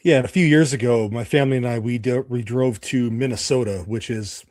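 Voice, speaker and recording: A man's voice: medium pitch, a little fast, a little mellow, no room sound, studio quality. A middle-aged American man hosting a podcast, casually interviewing a guest and chatting. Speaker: podcast host.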